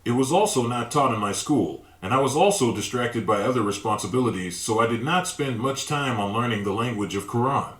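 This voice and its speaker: A man reads a religious reflection in a calm, measured way, his voice at an ordinary middle pitch. The sound carries a noticeable echo, as if recorded in a bare, roomy space. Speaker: religious narrator. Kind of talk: scripted religious narration.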